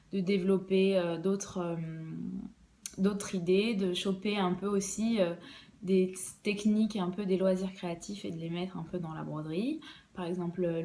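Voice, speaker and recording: A woman's voice: medium pitch, mellow. A young woman, an embroidery designer, explaining her work in a casual podcast interview, hesitant and full of fillers and restarts.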